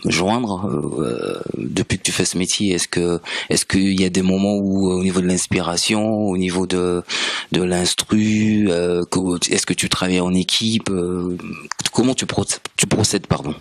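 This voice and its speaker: Very low-voiced radio host, dry sound. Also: male voice, no room sound. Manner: halting, many fillers and restarts. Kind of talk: radio interview. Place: radio studio.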